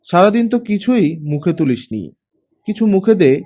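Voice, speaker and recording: Guy reading as older mom, grandma type in a story, fussy and coaxing, expressive pitch. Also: normal speed. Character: fussing, concerned older mother and grandmother.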